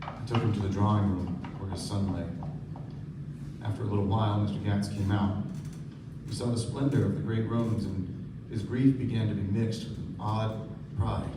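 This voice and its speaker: A man's voice: fairly low pitch, steady tone, normal speed, background noise, lightly acted. A man playing an earnest, sombre narrator in a live radio play, delivering scripted literary prose and dialogue. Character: earnest, sombre male narrator and loyal friend. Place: live stage performance. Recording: echoey distant stage.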